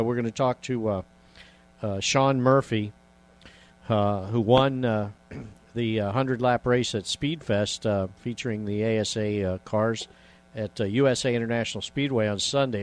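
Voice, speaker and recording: A man hosting a casual radio talk show, rambling with many fillers and restarts. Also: fairly low pitch.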